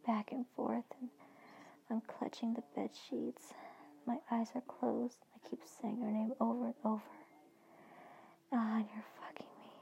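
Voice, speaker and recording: A woman narrating an erotic story in an intimate, breathy whisper, speaking to the listener as "you". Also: fairly high pitch, dark tone, lo-fi sound, a little hiss or hum.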